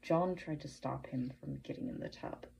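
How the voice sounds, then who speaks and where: A woman giving prepared commentary as a podcast listener, measured and deliberate, posing pointed questions. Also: extremely low pitch, creaky, darkish tone, low-quality recording. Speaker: listener sending in a voice message.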